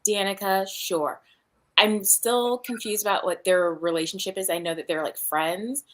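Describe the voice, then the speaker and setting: A woman's voice: medium pitch, steady tone, moderate pace. A woman chatting casually about books on a livestream, with a blunt, exasperated way of talking. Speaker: book reading livestream host.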